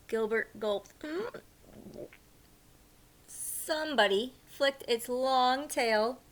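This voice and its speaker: A woman reading a picture book aloud as a teacher would, animated, with hushed asides to young listeners. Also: sits high.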